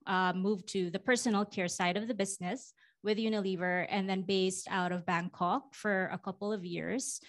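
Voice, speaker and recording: Woman, Filipino first language, forties, handheld mic, live stage, steady mid voice, reflective. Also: medium pitch. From Philippines. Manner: warm, reflective, many ums. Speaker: panel guest. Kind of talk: fireside chat on stage. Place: conference stage before a live audience.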